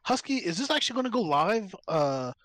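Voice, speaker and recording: A man with a lively, swooping pitch and a slightly raised voice jokes around as a podcast co-host. The sound is dry and close, and he laughs easily and slips into mock rants. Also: a little fast, no echo. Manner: laughs easily, playful and ranting. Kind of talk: casual comedic podcast chat.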